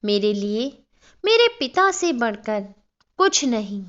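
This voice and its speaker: A woman storyteller voicing a grateful, earnest grown daughter in solemn, halting phrases. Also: on the high side, sing-song. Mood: solemn gratitude. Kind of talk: storybook character dialogue in narration. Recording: dull, lo-fi sound.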